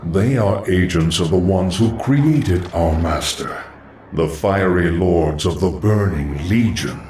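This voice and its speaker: An actor voicing a calm, eerie undead lich sorcerer in a video game, ominous and assured, with an echoing recording. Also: male voice, low-pitched, slow pace. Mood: calm, knowing. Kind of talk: video game cutscene acting. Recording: echoing distant voice, noisy game ambience.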